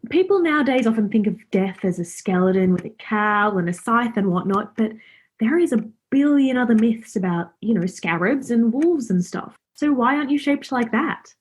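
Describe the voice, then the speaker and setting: Casting: friendly, cheeky young woman played by an actress, highish husky voice, informal rambling delivery, radio drama. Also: expressive, normal speed. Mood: curious. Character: Laura, chatty, cheeky, friendly young woman befriending Death. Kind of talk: radio play acting.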